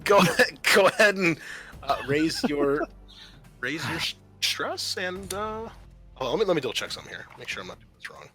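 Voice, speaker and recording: A man acting as game master, halting and informal as he explains rules and narrates a tabletop roleplaying game. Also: fairly high pitch, very animated. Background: music.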